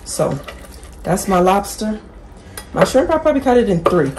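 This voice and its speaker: A woman's voice: medium pitch, big pitch swings, normal speed, noisy background. A woman hosting a casual cooking tutorial from her home kitchen, chatting straight to viewers and correcting herself as she goes. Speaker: cooking channel host.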